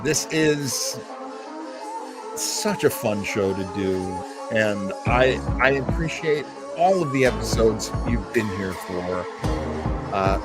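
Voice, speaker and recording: A man hosting a live-streamed show, chatting informally with viewers in a rambling way full of asides. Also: medium pitch. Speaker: host of a live-streamed radio nostalgia show. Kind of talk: casual chat, reading out and answering live viewer comments.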